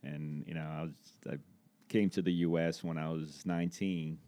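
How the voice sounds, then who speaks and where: A man's voice: a bass voice, level tone, moderate pace, warm tone. A man in his forties from Brazil with a Brazilian accent, a native Portuguese speaker, answering interview questions conversationally as a guest. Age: 40s. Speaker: interview guest, corporate safety executive.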